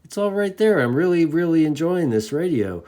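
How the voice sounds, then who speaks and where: A man's voice: fairly high pitch, very animated, moderate pace, dark tone. A man giving a casual, enthusiastic product demonstration in an informal, folksy way, like a reviewer talking to hobbyists. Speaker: radio reviewer.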